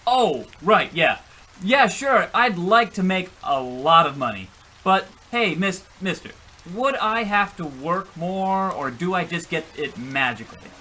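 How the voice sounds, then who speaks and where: A man's voice: high-pitched, lively intonation, unhurried, sharp tone, a little hiss or hum, exaggerated voice. A man playing a gullible, defensive husband who rambles through his tall story with exasperation in an old-time radio comedy.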